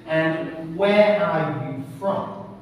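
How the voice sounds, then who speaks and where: A man's voice: fairly high pitch, extremely slow. A man in his thirties working as an English teacher, asking simple exam questions and giving short, encouraging praise. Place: small bare classroom, indoors. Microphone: far camera microphone. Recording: echoey room, distant microphone, faint noise.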